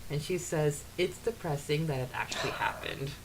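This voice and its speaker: Female podcast co-host, lowish voice, casual and halting, some background noise. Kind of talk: casual chat; reading out quotes and listener comments.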